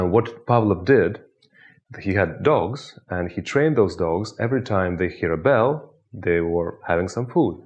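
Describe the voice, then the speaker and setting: A man with a Russian accent, a hypnotist guiding the listener through an anchoring exercise with slow, soothing, repetitive suggestions. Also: sits low, a little mellow. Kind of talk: guided hypnosis instruction.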